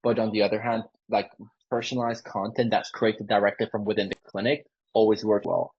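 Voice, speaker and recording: Man, sales closer. Low, husky voice, informal pitch style, muffled call recording. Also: level tone, brisk. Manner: informal, peppered with "like". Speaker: sales closer for a marketing agency. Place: online sales call with screen sharing. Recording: dull lo-fi call audio.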